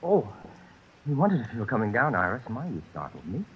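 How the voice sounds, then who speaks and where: A man's voice: medium pitch, huge pitch swings, brisk. An actor playing a fussy, prim, mild-mannered man in a vintage radio suspense drama. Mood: flustered. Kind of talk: 1940s radio suspense acting. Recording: dull, slightly noisy vintage radio recording.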